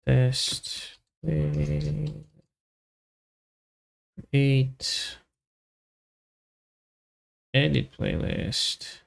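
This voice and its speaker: A man thinking aloud as he hosts a live coding stream, muttering in halting, half-finished phrases. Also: medium pitch, gravelly.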